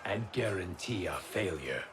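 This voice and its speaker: Wry, animated scheming sorcerer. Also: male voice, low-pitched, unhurried. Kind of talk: video game cutscene acting. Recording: loud music behind the voice.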